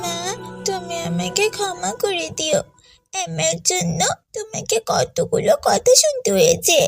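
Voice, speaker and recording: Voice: extremely high pitch, animated, a little slow, gravelly, faint music behind, full character voice. A performer voicing a poor, meek little village girl in a cartoon, with a plaintive, pleading, childlike way of talking. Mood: sorry, pleading. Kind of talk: Islamic cartoon dialogue.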